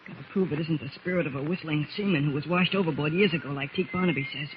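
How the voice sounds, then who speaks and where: A man's voice: fairly high pitch, quick pace, close to an everyday voice. An actor playing an earnest, plucky teenage boy with wholesome exclamations, in old radio drama style. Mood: anxious, plucky. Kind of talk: 1940s radio drama acting. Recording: dull, noisy old radio recording.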